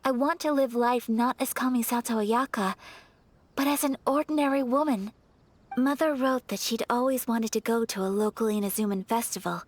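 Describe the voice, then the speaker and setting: A woman playing a refined young noblewoman in a video game, gentle and earnest, speaking softly in formal, measured phrases. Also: on the high side, close to an everyday voice. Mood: wistful. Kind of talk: video game character acting. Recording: soft music behind the voice.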